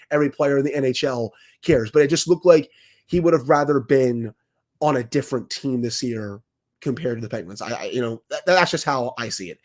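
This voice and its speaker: A man co-hosting a hockey podcast while fighting a cold, a bit raspy, giving opinionated takes full of stats and careful hedges. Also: medium pitch. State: has a cold, slightly raspy. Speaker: podcast co-host, hockey analyst. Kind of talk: casual sports podcast talk.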